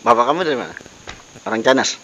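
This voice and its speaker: A man's voice: medium pitch, animated, quick pace, sharp tone. A man, questioning someone in an unscripted paranormal investigation, repeating questions and echoing back answers. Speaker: host questioning a supposedly possessed person. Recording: noisy lo-fi field recording with insects.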